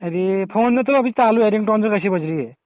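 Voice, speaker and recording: A high-voiced, rapid-talking man with a Kumaoni accent, pestering the other person with jokey, persistent questions. The sound is thin and phone-like. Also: no echo. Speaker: prank caller. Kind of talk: comedy prank call. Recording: tinny phone line.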